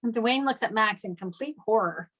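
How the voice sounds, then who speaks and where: A woman's voice: fairly high pitch, moderate pace, fairly bright tone. Woman reading screenplay stage directions. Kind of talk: scripted narration for a table read.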